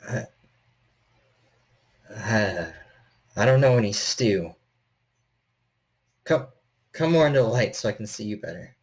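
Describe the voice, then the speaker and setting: A man voicing a stocky, gentle, frightened handyman in a tabletop horror game, speaking hesitantly and stammering in a friendly way. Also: fairly low pitch, lively intonation, takes it slowly, long pauses. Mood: wary, confused.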